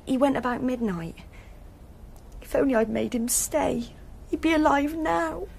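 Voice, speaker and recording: A grieving young hairdresser. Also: female voice, sits high, a little slow, gravelly, darkish tone, slight background noise. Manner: plain-spoken, distraught. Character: young woman hairdresser grieving her murdered boyfriend. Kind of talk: BBC radio drama acting.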